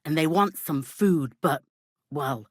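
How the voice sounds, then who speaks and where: An actress playing a brusque, gossipy, world-weary medieval village innkeeper in a video game, talking in blunt, earthy, scolding phrases. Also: female voice, low voice, slow pace, breathy edge, no room sound, clean studio sound, exaggerated voice. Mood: uneasy, asking favour. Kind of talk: video game character dialogue.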